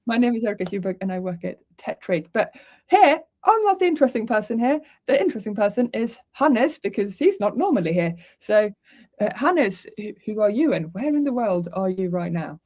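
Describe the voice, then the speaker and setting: A woman's voice: mid-range voice, sing-song, fairly quick, darkish tone. Chatty woman hosting a casual livestream. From Sweden. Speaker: livestream host. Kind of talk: casual live interview chat.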